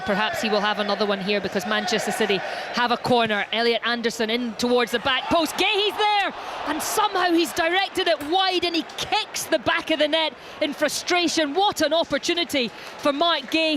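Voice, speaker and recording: A woman giving play-by-play commentary on a live football match, rising to shouts when the ball reaches the goalmouth. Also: high voice, a little fast. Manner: rises to shouts at goalmouth action. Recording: noisy background.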